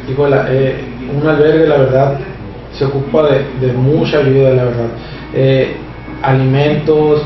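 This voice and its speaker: A man explains his work in a hesitant, halting way, full of fillers and restarts. His delivery stays flat and even. He sounds far from the camera mic in a small echoey office, with dull audio and steady background noise. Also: mid-range voice. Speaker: shelter administrator. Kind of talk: casual interview explanation. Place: small office with a computer, indoors.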